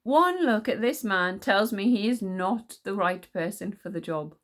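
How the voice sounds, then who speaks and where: A wry enchanter king from a storybook, read by a woman. He is composed and sardonic, musing calmly and unhurried by threats. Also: mid-range voice, natural voice. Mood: scornful.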